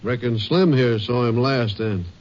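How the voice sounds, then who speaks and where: An actor playing a folksy, matter-of-fact rural sheriff in an old-time radio drama. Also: male voice, mid-range voice, unhurried, darkish tone, lightly acted. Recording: muffled, noisy vintage radio recording.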